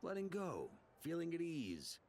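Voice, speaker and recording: A man's voice: a little high, wildly swooping pitch, unhurried, creaky, a little mellow, a little hiss or hum, heavily acted. A man voicing an easygoing, playful young bard in an anime-style game, talking in a casual way. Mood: relaxed, friendly.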